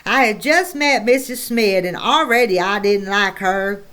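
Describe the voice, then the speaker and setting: A woman narrating a children's novel in first person, with plain, gentle reading. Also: mid-range voice, unhurried. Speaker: audiobook narrator.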